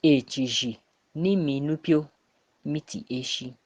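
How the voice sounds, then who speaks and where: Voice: medium pitch, a little slow, darkish tone, breathy edge, dry recording. A person giving a spoken answer as an academic contributor to a program. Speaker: academic answering a monthly question.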